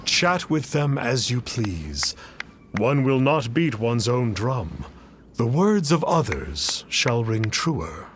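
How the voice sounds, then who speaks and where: An actor playing a pompous self-styled immortal sage in a video game, formal, lofty and theatrical. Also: male voice, medium pitch, sing-song, takes it slowly, noisy background. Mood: grandiose. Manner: pompous, formal, stately, calls himself "one". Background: music.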